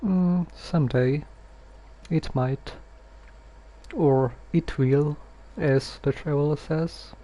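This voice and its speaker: Slow, mellow man streaming a game. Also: a little high. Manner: halting, musing aloud. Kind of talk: casual game commentary.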